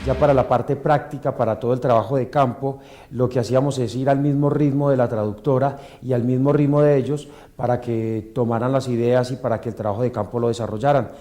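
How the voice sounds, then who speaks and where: A male teacher answering an interview in a measured way with small hesitations. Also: mid-range voice, steady tone, dark tone.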